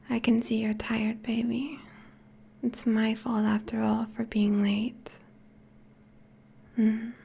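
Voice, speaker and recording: A tender, possessive vampire girlfriend, played by a woman, whispers in an intimate ASMR roleplay. Her voice is fairly high, breathy and creaky at the edges. She coaxes and teases slowly and softly. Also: exaggerated voice. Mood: loving, intimate. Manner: slow, soft, coaxing.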